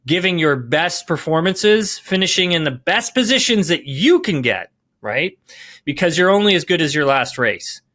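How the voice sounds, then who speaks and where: A man's voice: on the high side, sharp tone. A man giving podcast sports analysis, emphatic, rephrasing and repeating key words as he goes.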